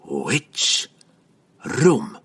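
A gruff dwarf warrior from a fantasy storybook, acted out by a man, growling and roaring his blunt demands. The pitch swoops widely, jumping well up from a low base as his impatience shows. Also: huge pitch swings, moderate pace, exaggerated voice. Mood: demanding. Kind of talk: fantasy audiobook character voice.

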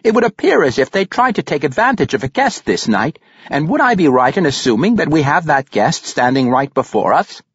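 Man doing a high-voiced halfling fighter. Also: very animated, fairly quick, few pauses, fairly bright tone, breathy edge, dry recording, dull audio, heavily acted. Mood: stern. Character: male halfling warrior, commanding and dry.

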